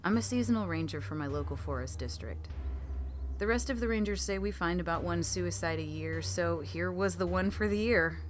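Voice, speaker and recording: Low voiced woman reading scary stories like a narrator, bit of noise in the background. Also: normal speed. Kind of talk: scripted storytelling narration of true scary stories.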